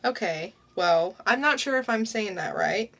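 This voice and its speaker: A young woman co-hosting a casual comic book podcast, summing up a story in a chatty, unhurried way. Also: medium pitch, animated, vocal fry, fairly bright tone. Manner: unhurried, chatty, summarizing plots. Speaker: podcast co-host. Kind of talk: casual comic review chat.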